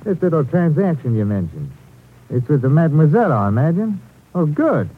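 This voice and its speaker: A man's voice: fairly high pitch, big pitch swings, a little fast, husky. A man playing a seamy, sneering small-time crook with a mock-genial manner in a 1950s radio drama. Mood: smug, menacing. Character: seamy, gloating little male small-time crook with gun. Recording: tinny, slightly noisy old radio recording.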